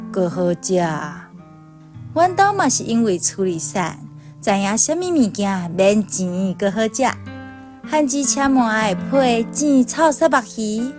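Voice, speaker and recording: A woman with a Taiwanese accent reading a personal essay as scripted storytelling narration. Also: fairly high pitch. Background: soft instrumental music.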